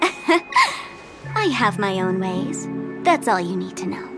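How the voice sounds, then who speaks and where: A woman's voice: mid-range voice, very animated, takes it slowly, over music. An actress playing a playful, mischievous female trickster in a video game, taunting in a theatrical, mock-sweet way. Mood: teasing, mischievous. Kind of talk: dramatic video game voice acting.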